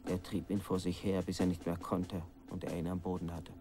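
An actor playing a village storyteller who recounts a grim legend in a radio drama, grave and suspenseful. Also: male voice, deep voice, level tone, fairly quick, music in the background. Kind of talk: dramatic narration in a radio play.

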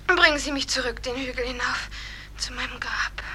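A woman playing a dead young woman in a radio drama, emotional and halting, grieving for earthly life. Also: sits high, pushed and bright, a little hiss or hum. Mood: tearful, anguished farewell. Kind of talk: theatrical radio drama acting.

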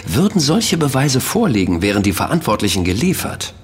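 An actor playing an excitable, impatient and knowledgeable investigator in a German audio drama, expressive and emphatic in delivery. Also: male voice, mid-range voice, brisk, breathy edge. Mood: earnest. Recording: reverberant hall with music underneath.

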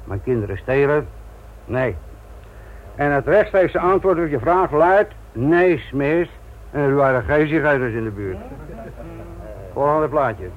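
An actor playing a sardonic, gruff older police instructor in a Dutch radio play, patronising and deliberate in manner. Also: male voice, fairly high pitch, expressive, slight background noise. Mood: sardonic, brisk. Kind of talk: Dutch radio drama acting. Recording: thin, narrow-band old radio recording.

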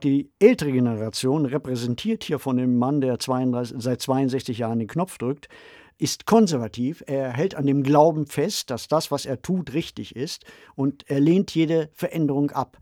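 A man co-hosting a podcast discussion, speaking in a measured, formal, explanatory manner. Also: mid-range voice, a little mellow, no echo, clean studio sound. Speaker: podcast co-host. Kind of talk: discussion of fiction and ideas.

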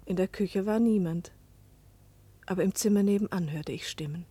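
An actress playing a troubled, melancholy wife and mother in a German radio play, speaking slowly and gravely with breath in her voice. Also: mid-range voice, warm tone, natural voice. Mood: grieving, solemn. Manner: slow, grave, breathy dropping to whispers.

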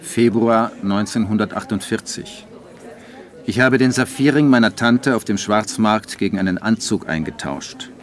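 A man's voice: lowish voice, normal speed, lightly acted. An actor playing a wry, detached male writer who narrates his diary with dry understatement in a radio drama. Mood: dry, guilty.

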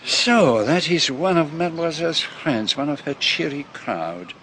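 A man's voice: a little high, big pitch swings, a little slow, fairly bright tone, slight background noise, exaggerated voice. An actor playing a shrewd, vain older male detective with a Belgian French accent and a courtly, teasing, precise manner in a radio drama. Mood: amused.

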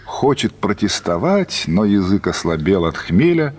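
A man's voice: on the low side, very animated, measured pace, breathy edge. A man reading literary narration as the storyteller of a radio play.

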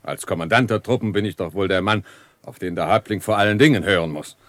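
An actor playing a self-important army commander in a radio drama, with a stiff, pompous way of talking. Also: male voice, low-pitched, fast talker, a bit bright, no echo. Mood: indignant, then deflated. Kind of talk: German radio drama acting.

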